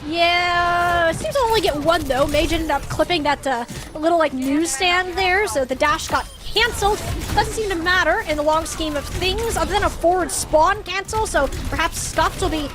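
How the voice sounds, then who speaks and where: A woman doing live esports commentary as a caster, excitable and colloquial, with playful interjections. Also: very high voice, moderate pace, hardly any pauses.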